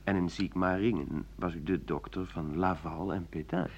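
Low-voiced, probing male interviewer. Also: steady tone, moderate pace, a little hiss or hum. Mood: probing, measured. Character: male radio interviewer, probing and matter-of-fact. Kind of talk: radio play interview. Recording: dull lo-fi 1980 radio recording.

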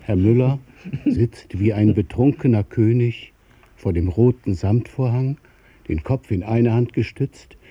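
A man narrating a radio play in a measured, literary way. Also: lowish voice, warm tone, husky, muffled recording.

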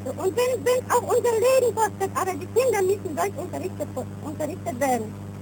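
A woman tells her own account as a refugee for a 1930s newsreel. Her voice is high. The old recording hums and is clearly noisy. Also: expressive, a little fast. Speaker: refugee giving testimony. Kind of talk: personal testimony for a newsreel.

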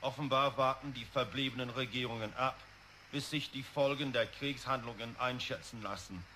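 A man's voice: mid-range voice, steady tone, normal speed, pushed and bright, lightly acted. A man playing a radio newsreader giving a formal bulletin in a German radio drama, with faint hiss. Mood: matter-of-fact. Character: crisp male radio newsreader.

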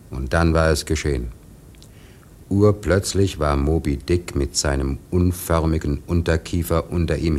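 A man narrating a radio play as grave, measured, dramatic literary storytelling. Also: very deep voice, darkish tone, slight background noise.

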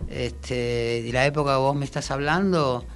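A man's voice: medium pitch, moderate pace, fairly bright tone, soft background music. A middle-aged Argentine man with a Rioplatense accent, a musician chatting as an interview guest, informal and emphatic, often repeating phrases. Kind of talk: casual interview chat.

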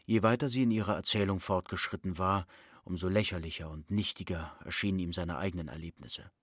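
A man giving measured, formal literary narration in a radio play. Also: sits low, no room sound, studio quality.

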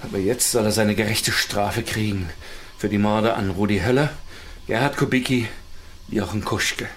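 A man's voice: fairly low pitch, steady tone, moderate pace, a bit bright, lightly acted. A man acting in a German radio drama as a corrupt, menacing extorter, taunting and cold-blooded. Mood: smug, taunting.

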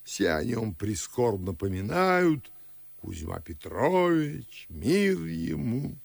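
An actor playing a fussy, grumbling older Moscow father and official in a verse radio play, with drawn-out declamatory delivery. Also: mid-range voice, big pitch swings, vocal fry, breathy edge, dry recording, heavily acted. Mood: pompous musing. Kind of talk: theatrical audio drama in verse.

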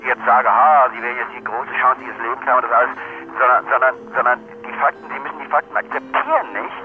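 A man's voice: mid-range voice, fairly even intonation, fast talker, narrow, tinny audio, soft background music. An indignant, insistent man with a cold, who repeats himself as he argues in a heated, rambling conversation as an interviewee. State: has a cold, sniffly. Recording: noisy, band-limited field recording.

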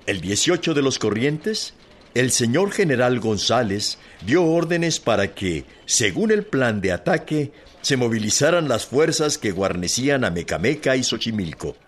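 An actor playing a formal, declamatory reader of an old newspaper report in a radio drama, with a Mexican accent. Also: male voice, medium pitch, big pitch swings, a little slow. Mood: grave, formal. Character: formal male reader of a 1917 government-side newspaper report. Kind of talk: radio drama news reading.

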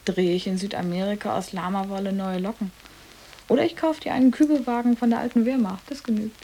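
A woman's voice: medium pitch, steady tone, fast, warm tone, slight background noise, lightly acted. A woman playing a cool, sarcastic teenage boarding school girl with a dry, mocking delivery in a radio drama. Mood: flippant. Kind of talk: German literary radio drama.

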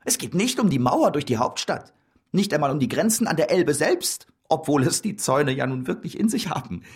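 A man's voice: a little high, breathy edge, exaggerated voice. A man doing a servile puppet jester. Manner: deferential, cautious reporting, lively intonation. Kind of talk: radio play acting.

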